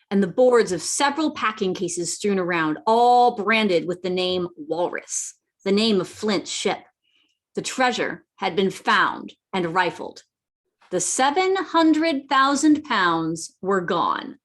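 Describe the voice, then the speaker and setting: A woman serves as the narrator of a radio adventure play. She reads formal storybook prose earnestly, in a mid-pitched voice. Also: expressive, slow.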